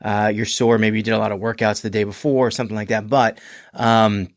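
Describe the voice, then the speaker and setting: Hoarse, chatty male podcast host. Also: fairly low pitch, little pitch movement, a little fast, no echo, clean studio sound. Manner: rambling, chatty, many restarts and fillers. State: hoarse and congested. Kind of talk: casual solo podcast monologue.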